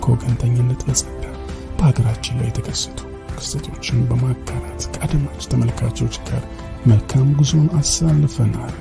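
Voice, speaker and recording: A man's voice: mid-range voice, fairly even intonation, very fast, vocal fry. A man reading a scripted promotional voice-over for a media channel. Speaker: announcer. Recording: voice-over with music behind.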